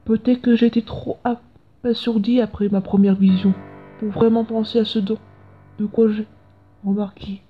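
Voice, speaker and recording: Curious scientist seer for a comic dub, female actor: high-pitched, explanatory, slightly dull recording. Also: flat delivery, moderate pace, slightly rough. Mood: reflective. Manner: explanatory, reflective. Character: earnest, curious scientist with visions of the future. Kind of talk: dubbed comic narration and dialogue. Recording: dull lo-fi sound with music behind.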